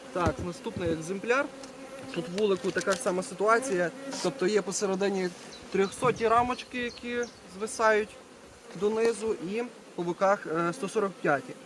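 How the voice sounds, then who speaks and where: A man giving a casual hands-on demonstration as a beekeeper, talking to viewers while he works and repeating short phrases. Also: high voice. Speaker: beekeeper presenting a video. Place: outdoors at an apiary. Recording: outdoor recording with buzzing bee noise. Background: buzzing bees and insects.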